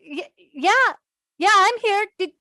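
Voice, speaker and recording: An actress playing an attentive, patient woman therapist in a play reading, asking gentle probing questions and offering measured reassurance. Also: extremely high pitch, expressive, no room sound, studio quality, exaggerated voice. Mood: attentive, reassuring. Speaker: actor in a staged play reading. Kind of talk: naturalistic dramatic dialogue, read online.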